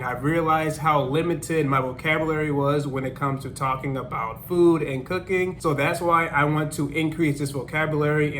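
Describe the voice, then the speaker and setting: An animated man in his thirties chats casually to a camera set up in his small apartment, laughing easily as he talks. His voice sits a touch high for a man. A faint low rumble hums under the recording. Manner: upbeat, animated, laughs often. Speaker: language-learning YouTuber. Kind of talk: casual vlog talking to camera. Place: small apartment living room, indoors.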